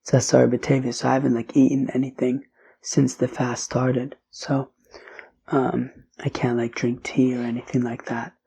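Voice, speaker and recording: A man with a failing, hoarse voice teaching a daily Talmud lesson in a relaxed, chatty way, sometimes dropping to a whisper. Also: medium pitch, moderate pace. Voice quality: raspy, drops to whispering. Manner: casual, with fillers and mixes in Hebrew and Aramaic terms. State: losing his voice, hoarse.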